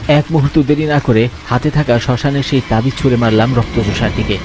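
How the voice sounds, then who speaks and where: A man's voice: medium pitch, quick pace, noisy background. Man narrating a horror cartoon. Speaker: narrator and voice actor. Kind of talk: dramatic horror storytelling.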